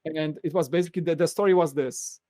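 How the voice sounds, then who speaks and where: A man with a Polish accent, talking in a fairly high voice as a podcast guest. He tells his story with animated energy and acts out what others said. The sound is dry and close, with no room echo. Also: fast. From Poland. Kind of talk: casual podcast interview.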